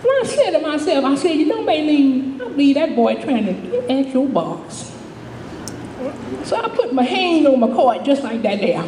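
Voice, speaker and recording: A feisty older street woman, played by a woman on stage, spins her story in a high-pitched drawl. She rambles and repeats herself with folksy, dramatic flair, her pitch leaping about. The recording is distant, in an echoey hall with an audience. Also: darkish tone, slight background noise. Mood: reflective, folksy. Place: live stage in a reverberant room.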